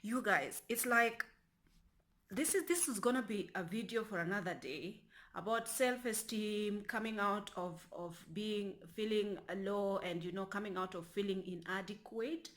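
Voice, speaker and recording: Bright-voiced woman from Kenya chatting. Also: unhurried. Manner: rambling, restarts often, lowers to a near whisper. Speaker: vlogger. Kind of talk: casual talking-to-camera vlog.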